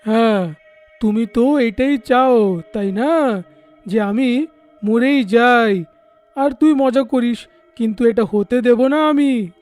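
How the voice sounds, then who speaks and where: Loud theatrical village guy woman doing him, slow emphatic cartoon talk. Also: on the high side, faint music behind. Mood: aggrieved. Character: excitable, mocking village man in a ghost cartoon. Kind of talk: cartoon character acting.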